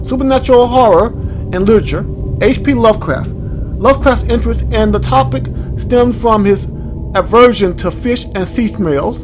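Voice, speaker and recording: Male let's-play host: high-pitched, informal, off-the-cuff commentary and read-alouds, light music underneath. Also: low-quality recording. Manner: informal, stumbles over unfamiliar words. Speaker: gaming video host. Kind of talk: casual game playthrough commentary, reading on-screen text aloud.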